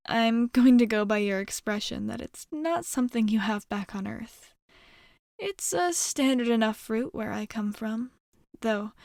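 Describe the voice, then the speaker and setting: Woman acting as female alien nomad, ASMR roleplay, soft and hesitant, lightly high voice, very dry close sound, gentle, curious. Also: no echo. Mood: curious, amused. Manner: soft-spoken, hesitant, reassuring, with pauses.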